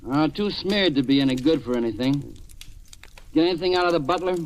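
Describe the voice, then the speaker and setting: An actor playing a tough, wisecracking police detective in an old radio crime drama, with a clipped, sardonic delivery. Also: male voice, a little high, lively intonation, brisk, slight background noise. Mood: businesslike, dry. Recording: old radio recording with music.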